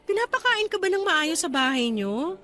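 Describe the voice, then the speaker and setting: High-voiced, caring young aunt. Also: female voice, very animated, moderate pace, bright, forceful tone, exaggerated voice. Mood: concerned, tender. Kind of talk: radio drama acting in Tagalog.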